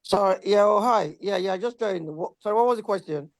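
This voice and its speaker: A woman guest on a casual video call, speaking in a lively, playful way. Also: medium pitch, brisk, no room sound. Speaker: panel guest.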